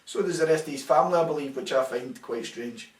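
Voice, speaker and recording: A man's voice: on the high side, fast, echoey room, far from the mic. A Scottish man co-hosting a casual comic fight-sports chat, making dry, wry quips. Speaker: co-host.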